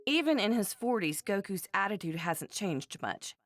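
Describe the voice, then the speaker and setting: A woman's voice: medium pitch, very animated, normal speed, a bit bright, dry recording, clean studio sound. A woman voicing an exasperated, nagging housewife and mother in an anime video game dub, with fretful, scolding delivery. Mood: disapproving. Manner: fretful, scolding, dramatic complaining. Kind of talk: English-dubbed anime game voice acting.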